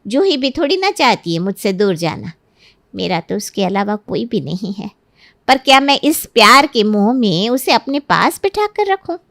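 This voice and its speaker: A woman's voice: a little high, big pitch swings, normal speed, fairly bright tone, no echo, studio quality. A woman voicing a scheming middle-aged mother of a bride in a cartoon, nagging and lecturing persuasively. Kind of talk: Hindi cartoon story acting.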